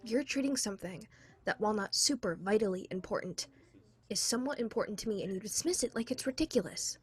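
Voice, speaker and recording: A woman's voice: medium pitch, expressive, quick pace, slightly rough. A young woman playing a skeptical, persistent girlfriend in a contemporary radio drama, dry and argumentative as she questions her partner. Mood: annoyed. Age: young adult. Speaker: student voice actor.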